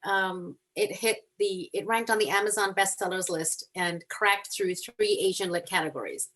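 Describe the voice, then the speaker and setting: A woman's voice: medium pitch, fairly even intonation, moderate pace, fairly bright tone, rough recording. Woman from Hong Kong, earnest chatty author, frequent ums, video call. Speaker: guest author. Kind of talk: casual chat on a video call.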